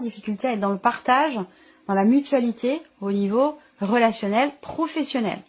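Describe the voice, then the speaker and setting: A woman giving an unscripted intuitive tarot reading, reflective and explanatory, often rephrasing her points. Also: on the high side. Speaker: tarot reader, channel host.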